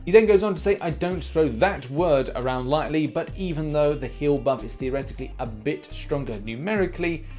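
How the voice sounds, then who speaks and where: A man's voice: medium pitch, a little mellow. A man hosting a gaming news show, giving scripted commentary in an emphatic, informal presenter style. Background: music.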